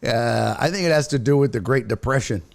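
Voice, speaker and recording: A man's voice: medium pitch, fairly quick, gravelly. An American man hosting a livestream, chatting casually with profane, exasperated teasing and comic exaggeration. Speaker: host of a livestream sports talk show. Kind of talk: casual chat, bantering and reading viewer comments.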